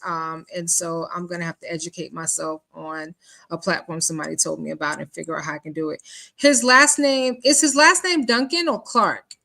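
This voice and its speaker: A woman's voice: fairly low pitch, a bit bright. A woman hosting a live stream, commenting casually and conversationally, repeating phrases for emphasis. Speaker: livestream host.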